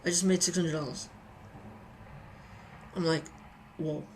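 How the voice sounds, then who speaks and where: Female hobbyist reviewer, lowish voice, casual and chatty, repeats herself for emphasis, faint room noise. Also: steady tone, measured pace, a little mellow. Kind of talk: casual vlog review with anecdotes.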